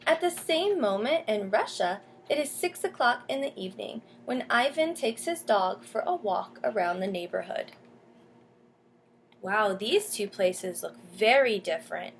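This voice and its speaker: A woman teacher reading a picture book aloud to children, pausing to explain and ask questions. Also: mid-range voice, expressive, creaky, a little hiss or hum. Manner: explains and asks questions as if to young listeners. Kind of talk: storybook read-aloud with comments to children.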